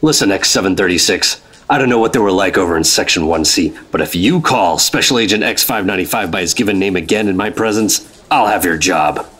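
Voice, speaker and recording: An actor playing a stern, deadpan government special agent who leads a team in a fifties-style radio drama. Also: male voice, low-pitched, a little fast, breathy edge. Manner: deadpan, commanding. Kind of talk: 1950s-style radio drama narration and acting.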